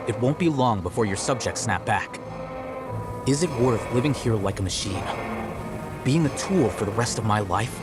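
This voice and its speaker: An actor playing a calm, detached young scholar in a game, speaking in a measured, lecturing way. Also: male voice, medium pitch, close to an everyday voice. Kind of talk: game cutscene dialogue. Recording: game audio under loud score. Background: music.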